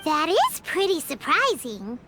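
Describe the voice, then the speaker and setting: A tiny girlish fairy squeaks in an extremely high, swooping voice, drawing words out slowly. She is an eager, excitable game sidekick, with loud music behind. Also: heavily acted. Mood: curious. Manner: squeaky, excitable, refers to self in third person. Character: tiny, childlike floating female fairy companion, eager, greedy. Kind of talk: anime game voice acting.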